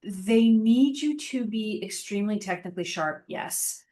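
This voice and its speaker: A woman's voice: medium pitch, unhurried, dark tone. A woman in her thirties, a guest talking casually and thoughtfully in an interview, with a lot of fillers. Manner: chatty, reflective, frequent fillers. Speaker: podcast guest, sales engineering manager.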